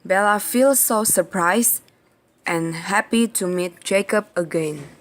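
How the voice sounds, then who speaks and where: A woman's voice: medium pitch, slow. A woman narrating an amateur radio play from a script.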